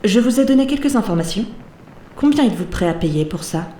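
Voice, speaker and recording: Woman acting a mellow, cool smuggler boss, echoey room. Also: mid-range voice, expressive, fairly quick, lightly acted. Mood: coolly menacing. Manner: composed, mock-courteous. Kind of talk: French audio drama acting. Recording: roomy echo, faint noise.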